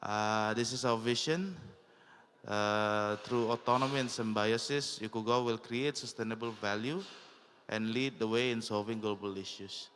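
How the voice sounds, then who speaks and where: A man giving a corporate talk, measured and pausing often on "uh". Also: fairly low pitch, fairly bright tone, slight background noise. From Indonesia. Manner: measured, with frequent "uh" pauses. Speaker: conference speaker. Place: large exhibition hall. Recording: long hall reverb.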